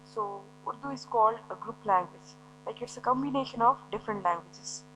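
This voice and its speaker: Woman lecturer, fairly high, bright voice, explains step by step, faint hum underneath. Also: fairly quick, low-quality recording. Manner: explanatory, poses and answers her own questions. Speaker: teacher. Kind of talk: recorded classroom lecture.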